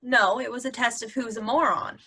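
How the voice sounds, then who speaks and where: A woman's voice: on the high side, fairly even intonation, fairly quick, sharp tone, slightly rough, full character voice. A woman playing a snarky, teasing wife in a conversational comedy play reading, full of sarcasm. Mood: wry. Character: snarky, joking wife of the anxious son.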